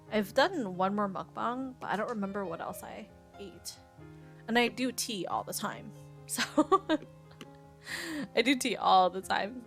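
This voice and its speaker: A young woman streamer with a Midwestern American accent talks slowly and loosely to her chat, giggling often and wandering from thought to thought. She sits at a desk mic at home with faint music playing behind her. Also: fairly high pitch, fairly bright tone. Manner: giggly, rambling, reads chat aloud. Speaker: Twitch streamer. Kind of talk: casual chat with stream viewers.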